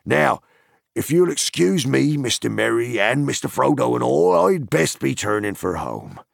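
A man voicing an old male hobbit farmer who talks in a gruff, hearty country dialect. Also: mid-range voice, big pitch swings, measured pace, dry recording, studio quality, pitched above the performer's own voice, exaggerated voice.

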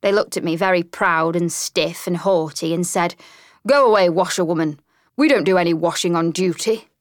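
Excitable mole voiced by a woman, storybook, gleeful, chatty, dry studio. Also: lowish voice, moderate pace, no echo, close to an everyday voice. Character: cheeky, excitable young female mole. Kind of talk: audiobook character reading.

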